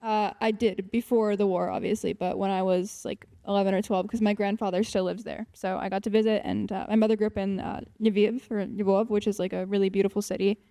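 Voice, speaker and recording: A teenage girl with a soft, warm voice, reading her poem slowly and then answering questions in a hesitant way, full of "um" and "like". She starts sentences over and searches for her words. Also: medium pitch, flat delivery. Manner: hesitant, many fillers and restarts. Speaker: student poet. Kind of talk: poetry reading and casual answers.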